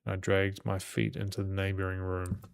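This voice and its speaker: A man reading a visual novel aloud as a gaming host, in measured storytelling with occasional stumbles. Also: deep voice, monotone, vocal fry. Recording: game music behind the voice.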